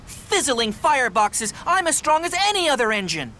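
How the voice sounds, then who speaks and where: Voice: high voice, big pitch swings, moderate pace, bright, forceful tone, slight background noise, heavily acted. An actor voicing a cheeky, eager young male steam engine in a children's cartoon, earnest and exclamatory. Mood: defiant, determined. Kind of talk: children's cartoon character acting.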